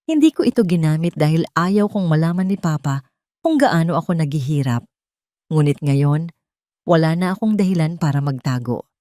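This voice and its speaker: Low-voiced Filipino woman narrating drama. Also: a little mellow, no room sound, studio quality. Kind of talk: dramatic scripted first-person story narration.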